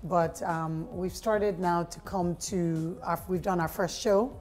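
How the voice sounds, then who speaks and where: Female interview guest, middle-aged, lower register, measured and conversational, music behind. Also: fairly even intonation, darkish tone. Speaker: guest, playwright and director. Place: open-plan office studio.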